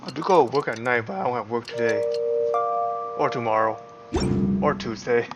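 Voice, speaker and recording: A man streams a video game in a middle-pitched voice. He rambles casually, thinking out loud and reacting to the screen. Game music is clearly heard behind him. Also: normal speed. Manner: rambling, thinks aloud, chuckles. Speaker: game livestreamer. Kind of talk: casual livestream chat and reactions.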